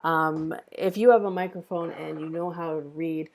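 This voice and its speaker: A woman's voice: low-pitched, fairly even intonation, moderate pace, darkish tone. A woman hosting an online script table read, chatting casually in an appreciative, encouraging way. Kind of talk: casual feedback chat and stream sign-off.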